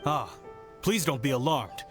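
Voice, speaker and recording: A man's voice: medium pitch, expressive, unhurried, lightly acted. A man playing a dutiful guard in a game, speaking formally and courteously. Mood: reassuring, formal. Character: polite, dutiful guard reassuring passers-by. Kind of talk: video game voice acting. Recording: music behind the voice.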